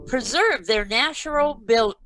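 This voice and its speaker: A woman's voice: a little high, expressive, over music. A woman playing a formal 1940s radio announcer reading sponsor copy over a video call. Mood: polished, promotional. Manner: measured, deliberate, formal. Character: polished 1940s radio announcer pitching toothpaste. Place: remote read-through over a video call.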